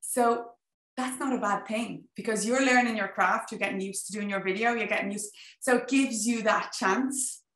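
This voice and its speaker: A woman's voice: medium pitch, normal speed. A woman in her thirties with a strong Dublin Irish accent, chatting animatedly as a guest on a video call. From Ireland. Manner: chatty and animated, gestures, frequent "you know". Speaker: guest, business coach. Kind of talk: casual video-call interview. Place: home office, indoors. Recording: echoey room.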